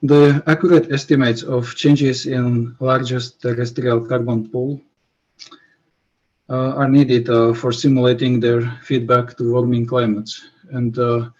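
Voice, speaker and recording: A man from Slovakia, giving a scientific presentation over a video call, with many pauses and fillers. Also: medium pitch, little pitch movement, a little mellow. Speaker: conference presenter. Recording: muffled video call audio.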